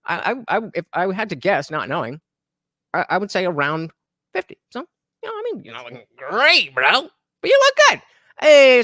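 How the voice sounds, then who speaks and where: A man's voice: high voice, bright, forceful tone, no room sound. A man commentating on a podcast clip during a live stream, hesitant and full of fillers, with sardonic asides.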